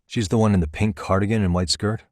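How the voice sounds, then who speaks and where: A man acting as a private investigator in a video game, composed and probing. His voice sits very low with a husky grain, and his delivery is measured, dryly confident and naturalistic. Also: steady tone, darkish tone, no room sound, studio quality, close to an everyday voice. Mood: focused, analytical.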